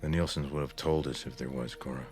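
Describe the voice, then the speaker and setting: An American actor playing a stern, practical, middle-aged husband, blunt and insistent, in a radio drama. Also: male voice, extremely low pitch, fairly even intonation, quick pace, darkish tone, soft background music. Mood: matter-of-fact. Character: stern, practical, impatient small-town husband.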